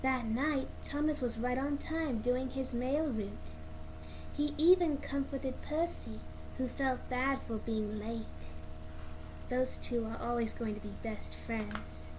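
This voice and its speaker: A woman reading a children's storybook aloud as narrator, in a slightly halting, amateur way. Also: sits high. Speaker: read-along narrator. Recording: clearly noisy amateur recording.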